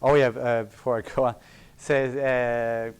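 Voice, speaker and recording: A man's voice: medium pitch, level tone, measured pace, clean tone. A man giving a lecture in a college auditorium, speaking earnestly and reflectively about his sculpture, with self-deprecating asides. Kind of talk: public lecture on sacred art.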